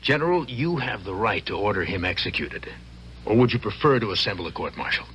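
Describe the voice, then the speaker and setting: A deep-voiced, stern army major. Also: male voice, expressive, a little fast, a bit bright, lo-fi sound. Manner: formal, clipped, unforgiving. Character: stern, hard-line male army major, the general's aide. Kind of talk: 1970s radio drama acting. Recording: noisy vintage radio recording.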